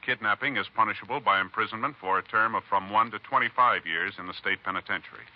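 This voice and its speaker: A man working as a radio announcer, reading formal scripted narration in a measured, solemn 1950s style. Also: fairly low pitch. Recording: dull vintage radio recording.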